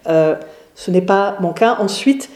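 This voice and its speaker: A woman's voice: medium pitch, a little slow, a little mellow, reverberant. A middle-aged woman pastor from England with an English accent, giving an informal talk with many hesitations and fillers. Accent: English, with some Swiss. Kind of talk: informal lecture to a group.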